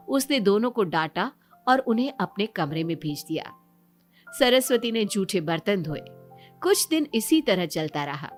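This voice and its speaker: A woman narrator reading a scripted moral family story. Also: mid-range voice.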